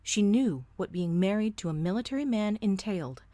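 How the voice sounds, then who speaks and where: A woman's voice: medium pitch, lively intonation, brisk, dark tone, no room sound. A woman in her thirties narrating a first-person story with a blunt, sardonic edge.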